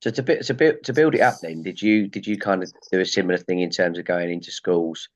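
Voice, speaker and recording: A man's voice: low voice, quick pace, muffled recording. A man hosting a golf interview, asking long rambling questions with hesitant restarts. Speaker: podcast host.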